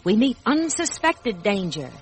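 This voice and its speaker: A woman's voice: mid-range voice, sing-song, unhurried. A woman narrating a scripted children's fantasy as host and storyteller, in 1940s radio style.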